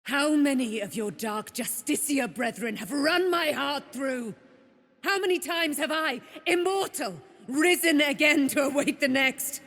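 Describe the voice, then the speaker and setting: A woman's voice: sits high, unhurried, fairly bright tone, no echo. A woman playing a proud, vengeful and noble immortal warrior in a fantasy video game, declaiming in a grand, formal, archaic way. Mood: anguished defiance.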